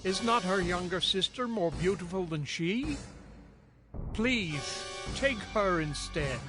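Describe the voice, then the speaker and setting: Anxious, high-pitched older father. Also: animated, takes it slowly, music in the background. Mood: anxious pleading. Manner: placating, pleading. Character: pleading, anxious father of a bride, older man. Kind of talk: dramatized audio Bible acting.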